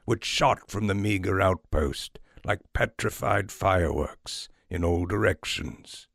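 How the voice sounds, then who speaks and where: A man narrating a literary story as a podcast host, with measured, deliberate phrasing. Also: on the low side, vocal fry, fairly bright tone, no room sound, clean studio sound.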